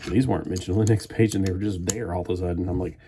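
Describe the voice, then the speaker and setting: A man's voice: low voice, fairly even intonation, rapid-fire, noisy background. A man chatting at home as he builds a toy kit live, meandering and thinking aloud with frequent restarts. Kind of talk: rambling live-stream chat while building.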